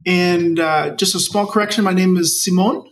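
An American man in his forties talks conversationally as an interview guest, telling his own story. His voice is on the higher side and sounds a little away from the microphone. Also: fairly even intonation, normal speed, faint music behind. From Maryland, United States. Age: 40s. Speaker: interview guest, nonprofit project leader. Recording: distant from the mic.

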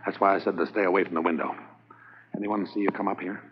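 An actor playing a calm, confident undercover policeman in a 1950s radio drama. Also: low voice, fast, natural voice. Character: undercover Canadian policeman posing as smuggler. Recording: dull old radio transcription, faint hiss.

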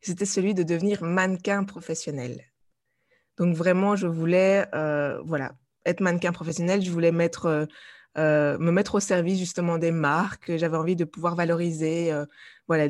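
Woman guest, a bit low, hesitant and filler-heavy. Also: darkish tone. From Belgium. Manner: hesitant, many fillers and restarts. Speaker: guest, life coach and artist. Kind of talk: conversational interview.

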